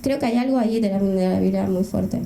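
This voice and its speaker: A woman's voice: mid-range voice, a little fast, gravelly, warm tone, a little hiss or hum. A middle-aged woman from France speaking on a panel, hesitant and searching for words. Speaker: panelist, writer. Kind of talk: panel discussion. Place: event hall. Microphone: handheld mic. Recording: roomy hall.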